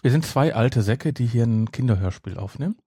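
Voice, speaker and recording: A man's voice: medium pitch, animated, fairly quick, mellow, breathy edge, no echo, studio quality. A man, chatting casually as a podcast co-host. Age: 50s. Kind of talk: casual chat about audio dramas.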